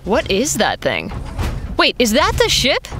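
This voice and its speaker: A woman's voice: a little high, huge pitch swings, a little slow, music in the background. An actress playing a blunt, sceptical woman mech pilot in an audio drama, speaking casually.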